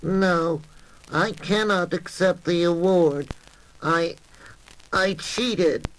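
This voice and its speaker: A man's voice: high-pitched, very slow, a little hiss or hum, pitched above the performer's own voice, rough recording. A man voicing a timid, defeated little Smurf with a plaintive, self-doubting manner, on a children's storybook record. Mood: guilty, confessing.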